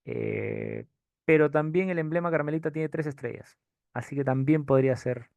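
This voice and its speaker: A man's voice: on the high side, normal speed, creaky, a little mellow, no echo, dull audio. A man with a non-Chilean Spanish accent giving an informal history lecture and answering questions, hesitant as he searches for his words. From outside Chile.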